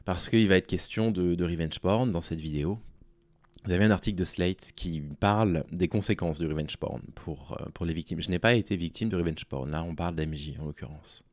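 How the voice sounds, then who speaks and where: A man speaking informally to his online audience in a halting, hesitant way, with a worn-out, small, tired voice. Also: low voice, dry recording. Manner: halting, hesitant delivery with long pauses. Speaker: online video creator. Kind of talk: informal talking to audience, explaining personal situation.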